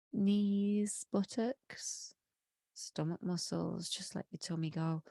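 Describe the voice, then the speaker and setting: Low mellow woman, bit croaky from a cold, slow soothing meditation voice. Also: no echo, studio quality. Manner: slow, soothing, drawn-out phrasing. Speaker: meditation leader. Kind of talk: guided meditation.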